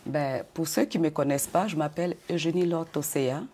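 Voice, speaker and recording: An older woman from Côte d'Ivoire answering interview questions in a measured way, often repeating words as she goes. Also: low-pitched, fairly even intonation, dark tone, slight background noise. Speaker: interview guest.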